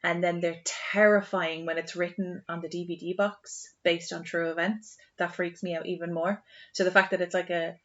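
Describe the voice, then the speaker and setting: A woman with an Irish accent chatting casually as a podcast co-host, expressive and repeating words for emphasis. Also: on the low side, moderate pace. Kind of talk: casual chat. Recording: slightly dull sound.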